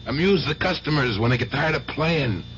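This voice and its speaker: An actor playing a streetwise, skeptical, scheming uncle in an old radio drama. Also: male voice, mid-range voice, lively intonation, normal speed, fairly bright tone. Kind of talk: 1970s radio drama acting. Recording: muffled, hissy old radio recording.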